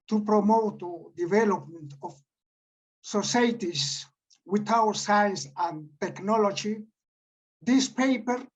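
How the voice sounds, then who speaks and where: Slow, emphatic Peruvian-accented man. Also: sits high, low-quality recording. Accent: Peruvian Spanish. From Peru. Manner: deliberate, emphatic, reads out declarations. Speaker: conference presenter. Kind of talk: formal prepared presentation.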